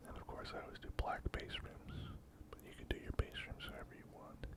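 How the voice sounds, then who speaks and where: The voice is a soft, unvoiced whisper, breathy and close to the microphone. An ASMR host talks through miniature painting step by step. The delivery is gentle and unhurried, as if half to oneself and half to the viewer.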